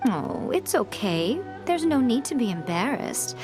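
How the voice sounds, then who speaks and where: Casting: sly, refined fox woman for a game, higher register, silky teasing delivery, music underneath. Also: very animated. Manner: playful, teasing, unhurried, silky. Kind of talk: video game voice acting.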